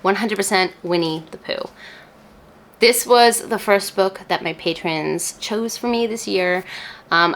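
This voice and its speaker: A woman's voice: medium pitch, a bit bright. A woman chatting casually to camera as a book reviewer, enthusiastic and emphatic, with informal phrasing and casual swearing.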